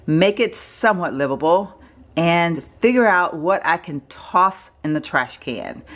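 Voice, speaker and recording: Vlogger, female, middle-aged, from Texas; low, raspy allergy voice, rambling chatty delivery, filmed on a camera mic in her kitchen. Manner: rambling, chatty, many fillers and self-corrections. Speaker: home organizing vlogger. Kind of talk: casual chatty home vlog. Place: home kitchen, indoors. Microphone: handheld camera mic, filming off screen.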